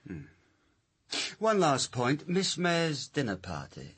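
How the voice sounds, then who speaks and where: An actor playing a suspicious police sergeant in a radio crime drama, with a blunt, challenging way of questioning. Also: male voice, on the high side, wildly swooping pitch, takes it slowly, fairly bright tone, husky, heavily acted. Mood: pressing.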